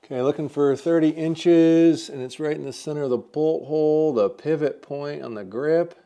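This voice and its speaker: A man's voice: fairly high pitch, normal speed, clean tone. A man reviewing a bow at a shop, casually talking through each measurement as he checks it. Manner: upbeat, talks through measurements as he works; says he has a loud voice. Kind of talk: casual hands-on product testing.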